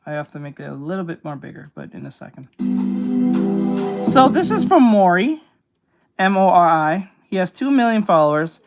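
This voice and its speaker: A woman artist chatting casually while she draws, reacting with quick exclamations and plenty of swearing. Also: fairly low pitch, very animated. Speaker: artist streaming while drawing. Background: music.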